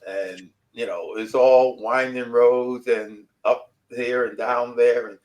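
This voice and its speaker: New man, author guest, rambling casual storyteller, mid-pitched voice. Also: fairly even intonation, takes it slowly, a little mellow, poor audio. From New York City. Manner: rambling storyteller, repeats himself. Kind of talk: casual interview chat.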